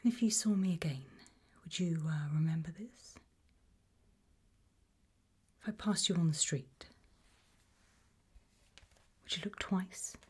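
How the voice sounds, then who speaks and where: A very breathy, whispering British woman, acting as a calm investigator in an ASMR interview roleplay. Her voice is low and mellow, and she puts gentle, probing questions with measured pauses. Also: exaggerated voice. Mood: intimate, searching.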